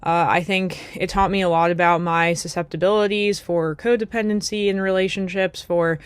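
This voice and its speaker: A woman's voice: lowish voice, fairly even intonation, normal speed, clean tone, dry recording. Reflective American woman, dry close sound. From United States. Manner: thoughtful, many fillers and restarts. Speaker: vlogger. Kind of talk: casual reflective monologue.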